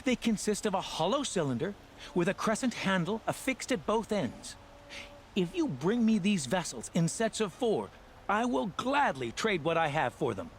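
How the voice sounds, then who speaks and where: A pompous male scholar from a video game, high in pitch and swooping with enthusiasm. He talks theatrically, like someone obsessed with ancient relics. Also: sing-song, normal speed, a little hiss or hum. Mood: probing, eager. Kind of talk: video game character acting.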